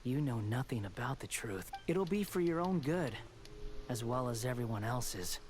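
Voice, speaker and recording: A man's voice: medium pitch, lively intonation, normal speed, lightly acted. An actor playing an arrogant young man who believes he is a god, haughty and taunting in a theatrical video game style. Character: arrogant young male would-be god, mocking and grandiose. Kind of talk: video game dialogue acting.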